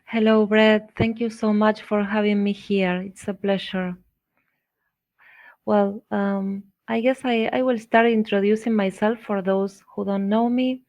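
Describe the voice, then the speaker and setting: Slow, clear-voiced Argentine woman. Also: mid-range voice, fairly even intonation, darkish tone, no room sound, dull audio. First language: Spanish. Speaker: interview guest, visual artist. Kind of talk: conversational personal storytelling.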